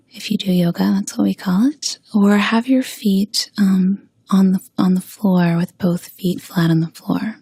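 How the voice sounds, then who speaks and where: Breathy, creaky-voiced woman guiding meditation. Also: mid-range voice, darkish tone, dry recording, studio quality. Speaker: meditation guide. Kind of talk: guided meditation, gentle spoken instruction.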